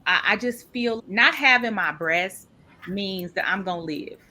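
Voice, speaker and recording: A woman's voice: mid-range voice, unhurried, sharp tone. A woman guest speaking candidly and animatedly in an interview about her own experience. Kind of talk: casual interview talk.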